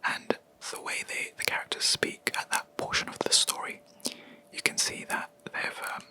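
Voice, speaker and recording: A young adult video creator, whispering a rambling, hesitant gaming chat close to the mic in a quiet room. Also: moderate pace. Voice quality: soft unvoiced whisper throughout. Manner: rambling, hesitant, with many ums and self-corrections.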